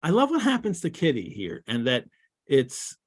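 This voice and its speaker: A man's voice: on the high side, huge pitch swings. An older man talks over a video call, his voice rising and falling widely as he goes. He stops and restarts often, filling the gaps with uh and um. It is an easy, unscripted conversation about a book. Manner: halting, many fillers and restarts. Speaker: cohost. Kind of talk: casual chat about a novel. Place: home room with bookshelves.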